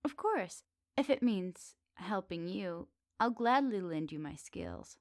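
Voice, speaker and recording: A woman voicing a flirty, kindly, lonely witch in an intimate, softly teasing ASMR roleplay, close to the microphone. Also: lowish voice, big pitch swings, slow pace, no echo, full character voice. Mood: kind, eager. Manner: soft, teasing, cozy delivery to one listener. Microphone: close dry microphone.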